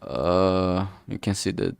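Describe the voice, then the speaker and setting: A man with a lowish voice and a strong creak talks off the cuff to his stream. He rambles and stumbles as he hunts for words, dropping casual swearing along the way, over a streaming mic. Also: no echo, clean studio sound. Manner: rambling, halting, often losing his thread. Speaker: streamer and amateur game writer. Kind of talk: casual livestream chat. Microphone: filtered streaming microphone. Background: background music.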